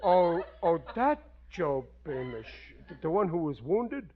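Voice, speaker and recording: An actor playing a blustering, soft-hearted working-class family man in a 1940s radio sitcom, loud and ungrammatical. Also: fairly high pitch, big pitch swings, unhurried, phone-quality sound, crowd noise. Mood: sheepish. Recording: noisy 1940s radio recording, studio audience.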